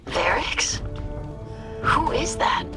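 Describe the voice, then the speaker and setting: An actress playing a concerned field ally on a mission in a video game. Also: female voice, extremely slow, over loud music. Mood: startled. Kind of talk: video game cutscene acting.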